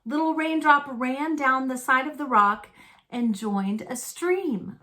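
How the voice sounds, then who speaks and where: A woman reading a children's picture book aloud in a deliberate storytelling manner, phrased simply for young listeners. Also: high-pitched, bright, forceful tone. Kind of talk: children's read-aloud narration.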